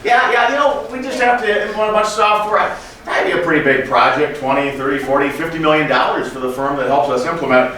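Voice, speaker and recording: A man's voice: fairly high pitch, fast talker, sharp tone, pitched above the performer's own voice. Man as a scheming, chummy finance chief, echoey room. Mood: coy, tempting. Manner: chummy, coy, hesitant hinting. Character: smooth-talking scheming male corporate finance chief, feigning innocence. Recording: echoey room, distant mic, background noise.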